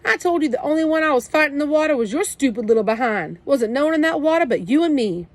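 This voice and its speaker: A woman's voice: high voice, animated, quick pace, no room sound, pitched above the performer's own voice. A woman voicing a tough teenage big brother who talks in blunt slang, scolding and protective at once. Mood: gruff comforting. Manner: streetwise slang, scolding then comforting.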